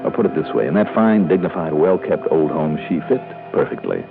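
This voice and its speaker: A deep-voiced American investigator, businesslike and wry, the lead of a vintage radio detective drama. The sound is tinny and narrow, with music underneath. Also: male voice, expressive, gravelly, heavily acted. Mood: wry, narrating. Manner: brisk, clipped, probing. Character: businesslike insurance investigator, politely impatient, wry. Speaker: lead and narrator. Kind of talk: 1950s-60s radio detective drama acting.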